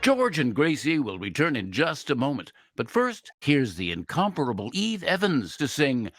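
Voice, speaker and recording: A man's voice: medium pitch, wildly swooping pitch, normal speed, soft background music. A man announcing in the style of a 1940s radio show.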